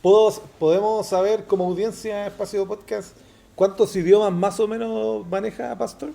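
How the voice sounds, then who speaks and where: A man's voice: high voice, steady tone, moderate pace, creaky, warm tone. A man hosting a podcast, jumping in to ask the guests questions. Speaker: podcast host. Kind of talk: casual podcast chat.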